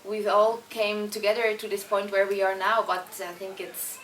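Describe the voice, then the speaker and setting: A young woman speaking English with a Swiss German accent, relaxed and chatty, full of fillers and quick restarts. She sounds a bit distant from the mic, in an echoing room with a faint hum of ambience around her. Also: mid-range voice. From Switzerland. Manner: chatty, many fillers and restarts, laughs easily. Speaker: band singer, interview guest. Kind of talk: casual interview answers. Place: concert venue before a show.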